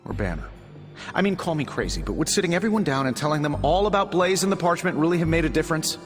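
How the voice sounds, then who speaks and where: Cocky wisecracking hero, very animated, played by a man. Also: on the high side, quick pace, lightly acted. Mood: defensive. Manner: sarcastic, flippant. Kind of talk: video game cutscene acting. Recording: long reverb, music behind.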